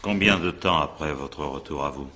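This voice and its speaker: An actor playing an impatient, businesslike examining magistrate in a French radio mystery, putting curt official questions and often cutting in. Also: male voice, rumbling low, moderate pace, sharp tone, a little hiss or hum. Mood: probing, brisk.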